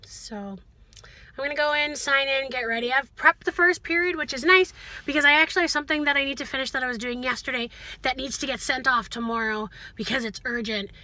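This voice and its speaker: A woman talking casually to viewers in a chatty, animated video diary, often interrupting herself. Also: sits high, brisk, no echo. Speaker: vlogger, school teacher.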